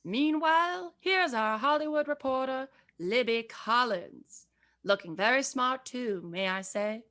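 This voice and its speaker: A woman playing a courtly, flattering radio host in a 1940s announcing style. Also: fairly high pitch, a little slow. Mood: polite, flattering.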